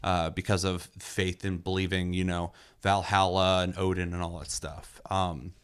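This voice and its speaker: A young man casually reviewing a movie in a relaxed, enthusiastic way, with lots of fillers and the odd curse. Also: deep voice, normal speed, clear, resonant tone. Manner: loose and enthusiastic, many fillers, occasional swearing. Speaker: movie reviewer, podcast host. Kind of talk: casual film review chat.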